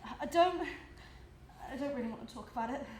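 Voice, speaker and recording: A woman playing a battered, embarrassed young woman in a live stage play, halting and evasive. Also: high voice, expressive, a little mellow. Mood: shaken, evasive. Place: indoor stage, recorded live. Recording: echoey, noisy live theatre recording.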